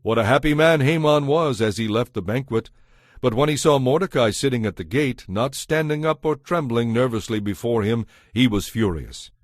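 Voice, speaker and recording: A man narrating a dramatized Bible in a measured, scripted storytelling style. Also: medium pitch, animated, no echo, studio quality.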